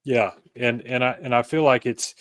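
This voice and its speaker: A man speaking as an interview guest, reflective and halting as he searches for words and restarts his phrases. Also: medium pitch, fairly even intonation, fairly bright tone, no echo. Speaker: podcast guest, author.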